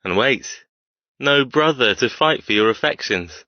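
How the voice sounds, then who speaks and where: An actor playing a confident, teasing, muscular young biker in an audiobook, with a smooth way of talking. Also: male voice, mid-range voice, animated, unhurried, dry recording, muffled recording, close to an everyday voice. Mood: teasing, amused. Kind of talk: audiobook dialogue acting.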